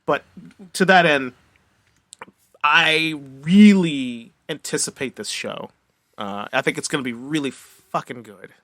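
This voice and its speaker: Male co-host, bright forceful voice on the higher side, profane and excitable in loose casual chat. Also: measured pace, long pauses. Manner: animated, profane, giggly. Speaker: podcast co-host.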